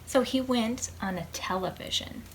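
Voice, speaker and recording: A woman reading a picture book aloud to preschoolers as a storytime host, expressive, drawing out words and putting questions to her young listeners. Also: on the high side, normal speed, slight background noise, poor audio.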